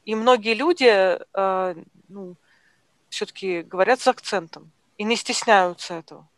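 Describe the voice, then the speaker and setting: A woman host in a discussion who talks hesitantly, with many pauses and fillers. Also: medium pitch, no room sound. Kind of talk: conversational discussion. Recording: dry close sound.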